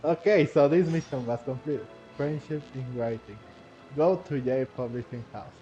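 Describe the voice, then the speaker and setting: A man giving casual game playthrough commentary in a halting, repetitive, giggly way, on a lo-fi mic. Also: medium pitch, mellow. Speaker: gaming video host. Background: faint game music.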